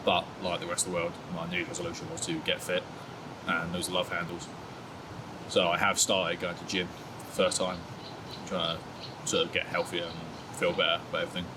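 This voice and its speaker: A man's voice: low voice, completely flat delivery, brisk, heavy background noise. A man in his twenties being interviewed, chatting casually and laughing at himself, with lots of "sort of" and "like". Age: 20s. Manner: laid-back, self-mocking, hedging with fillers. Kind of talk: candid casual chat.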